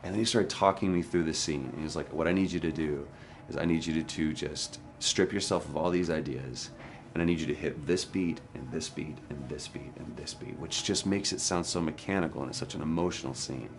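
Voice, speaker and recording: Male actor-raconteur, extremely deep pitch, animated anecdote delivery with acted-out quotes. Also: a little fast, gravelly. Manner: animated storyteller, acts out quoted dialogue. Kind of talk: personal anecdote on stage.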